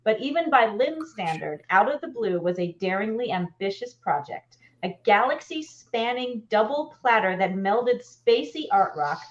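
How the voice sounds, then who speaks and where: A woman's voice: mid-range voice, animated, normal speed, hardly any pauses. A middle-aged woman co-hosting a casual live show from a room at home on a video call. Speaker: co-host. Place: furnished room at home, indoors. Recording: slightly dull video-call audio.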